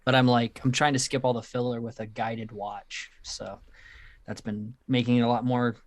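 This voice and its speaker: A man's voice: mid-range voice, little pitch movement, moderate pace. A young man co-hosting a casual podcast, talking about games in an easygoing, slightly hesitant way. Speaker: podcast co-host.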